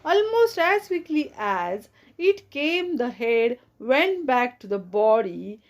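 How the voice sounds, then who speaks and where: A woman reading a children's story aloud in an expressive storytelling manner. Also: high-pitched, slow. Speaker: audiobook narrator.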